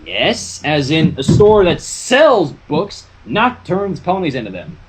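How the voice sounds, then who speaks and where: Casting: narrator playing unimpressed storybook pony shop clerk, husky, sardonic, emphatic. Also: mid-range voice, sing-song, slow pace, full character voice, rough recording. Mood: baffled, dry. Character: dry, sarcastic bookstore-clerk pony, unimpressed by customers.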